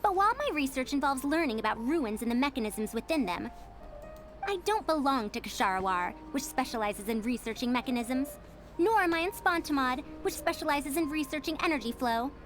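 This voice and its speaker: A woman's voice: sits high, animated, brisk, soft background music. A woman voicing a haughty senior female scholar in a video game, prim and self-important, with lofty phrasing.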